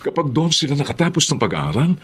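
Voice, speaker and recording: A man's voice: fairly high pitch, very animated, fast, a little hiss or hum. A man playing an ambitious, earnest middle-aged father in a Filipino radio drama. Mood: determined. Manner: earnest, persuasive. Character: determined, ambitious Filipino father working abroad.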